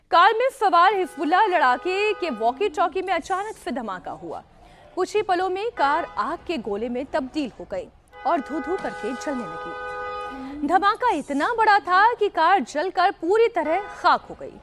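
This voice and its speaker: High-pitched, urgent woman news anchor. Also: fairly bright tone. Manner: emphatic, urgent delivery with rhetorical questions. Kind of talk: dramatic news narration.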